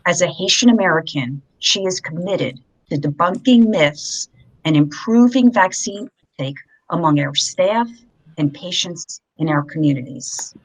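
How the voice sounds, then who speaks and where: A woman hosting a video-call town hall, giving a measured, formal introduction with a few slips and fillers. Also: on the low side, lively intonation. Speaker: event host introducing moderator. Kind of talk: formal introduction, read from notes.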